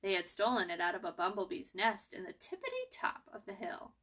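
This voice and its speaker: Bright-voiced woman reading a children's story. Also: medium pitch, wildly swooping pitch, brisk. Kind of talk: expressive storybook read-aloud.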